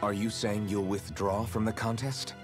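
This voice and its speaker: An actor playing a calm, level-headed male warrior in a video game, plain and understated. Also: on the low side, moderate pace, lightly acted. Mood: reasoning. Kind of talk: video game cutscene acting. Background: music.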